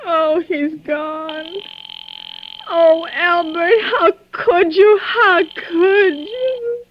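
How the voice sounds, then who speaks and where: An actress playing a nervous, demanding, self-pitying invalid wife who stammers in panic, in a 1940s radio thriller. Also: female voice, very high-pitched, fairly even intonation, drawn-out words, a little hiss or hum, full character voice. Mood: anguished. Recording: dull, dry vintage radio recording.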